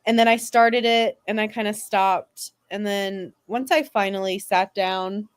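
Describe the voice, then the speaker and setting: A woman's voice: mid-range voice, steady tone, measured pace, clean tone. A woman chatting casually as a panelist and convention staffer. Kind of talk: casual chat.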